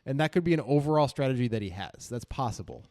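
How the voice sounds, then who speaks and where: A man's voice: medium pitch, expressive, fast talker, a little mellow. Analytical man co-hosting a commentary podcast. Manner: analytical, poses questions and answers them. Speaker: poker podcast co-host analysing hands.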